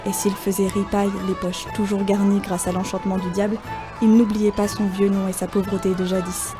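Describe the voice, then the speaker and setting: A woman's voice: medium pitch, level tone, brisk. A woman narrating a folk tale as a scripted audio story. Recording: faint music behind. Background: faint music.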